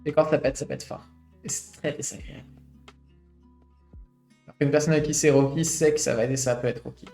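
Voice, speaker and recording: A man's voice: on the high side, fairly even intonation, brisk, creaky, darkish tone. A man in his late twenties streaming, reading a study aloud and adding his own reflective commentary full of fillers. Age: late 20s. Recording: roomy echo with faint guitar music.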